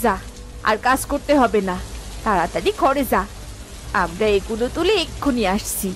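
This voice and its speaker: A woman's voice: a little high, lively intonation, normal speed, a bit bright, faint music behind. A woman voicing a harried village mother and wife in a cartoon, chiding and caring in a homely way. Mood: scolding. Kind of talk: cartoon voice acting.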